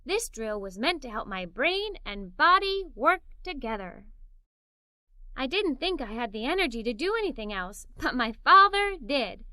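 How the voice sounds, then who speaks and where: A woman tells a story for young children in a high, very animated voice. Her tone is bright and the sound is dry, with expressive delivery suited to a read-aloud. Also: unhurried, dry recording. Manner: expressive, performs the characters' dialogue.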